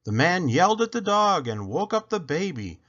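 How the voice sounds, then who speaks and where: A man reading a children's picture book aloud, expressively and with dramatic pauses. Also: high voice, sing-song, a bit bright, dull audio. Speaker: read-aloud storyteller.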